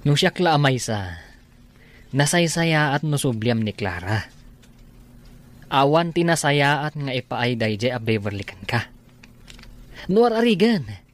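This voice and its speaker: A man's voice: mid-range voice, big pitch swings, slow, pauses often. An actor playing a friendly, concerned male buddy in a radio comedy drama. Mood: concerned, urging.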